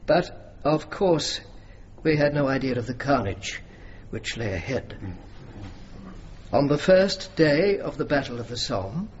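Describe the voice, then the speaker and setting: An actor playing an earnest, determined army officer in a radio drama, speaking in a formal, measured, persuasive way. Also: male voice, a little high. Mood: grave. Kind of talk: radio drama dialogue and narration. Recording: muffled radio recording with clear noise.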